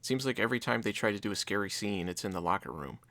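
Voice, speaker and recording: A man's voice: fairly low pitch, animated, quick pace, creaky, heavy background noise. A man co-hosting a review, casually recapping and commenting on a TV episode. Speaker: reviewer, co-host.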